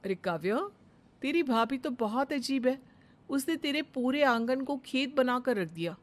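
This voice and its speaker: A woman performs a gossipy neighbour woman from a cartoon tale. She is scornful and full of complaint, with her voice pitched up high. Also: lively intonation, a little slow. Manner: mocking, complaining.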